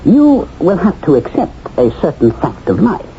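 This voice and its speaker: A suave, sinister fixer. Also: male voice, fairly high pitch, wildly swooping pitch, normal speed, warm tone. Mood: coolly menacing. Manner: smooth, coldly persuasive. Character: suave sinister man from a face-changing company. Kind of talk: 1970s radio drama acting. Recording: muffled old radio broadcast, faint hiss.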